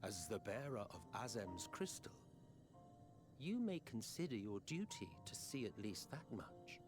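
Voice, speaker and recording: A proud, theatrical fallen villain, slow-spoken. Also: male voice, on the low side, big pitch swings. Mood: wry, lecturing. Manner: grandiloquent, measured, disdainful. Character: proud, theatrical, defeated male villain, imperious and wry. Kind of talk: dramatic video game acting. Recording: orchestral music under the voice.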